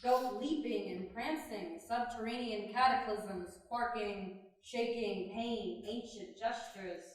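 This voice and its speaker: A woman's voice: fairly high pitch, slow pace, few pauses. A woman declaiming short descriptive phrases in a dramatic scripted play reading. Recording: echoey hall, distant, slight noise.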